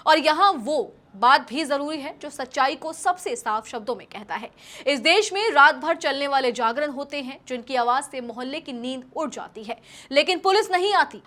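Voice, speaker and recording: High-pitched woman presenting news commentary. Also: sharp tone. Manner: emphatic, rhetorical questioning delivery.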